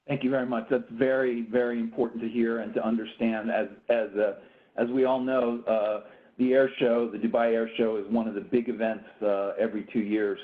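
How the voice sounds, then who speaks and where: Casting: male moderator, polite and halting, tinny phone-like video call sound. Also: medium pitch, level tone. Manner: polite, hesitant, restarts.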